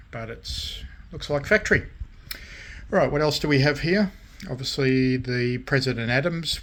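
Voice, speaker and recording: A man talks through his hobby work in a casual, informal way. His delivery is slow and halting, broken into short phrases with frequent pauses, at a middling pitch. There is faint noise in the recording. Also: a bit bright. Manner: halting, pausing often between short phrases. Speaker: radio restoration hobbyist and vlogger. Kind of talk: casual show-and-tell of restored radios.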